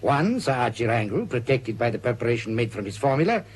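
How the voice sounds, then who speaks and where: An actor playing a cold, calculating villain in a radio serial, speaking in a formal, measured, menacing way. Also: male voice, mid-range voice, very animated, close to an everyday voice. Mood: calculating, gloating. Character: cold, calculating male villain plotting cruelly. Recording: clear background hiss and noise.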